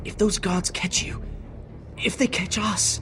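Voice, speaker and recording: Loyal servant, urgent, deferential, game score behind. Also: male voice, high voice, fairly even intonation, takes it slowly. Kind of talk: video game voice acting. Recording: game audio with music underneath.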